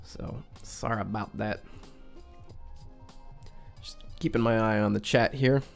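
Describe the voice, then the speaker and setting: A young man from Western New York hosting a casual live stream, a little raspy and congested from a cold, chatty and reading out the chat. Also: on the low side, steady tone, slow, soft background music. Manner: chatty, reads out chat with friendly asides.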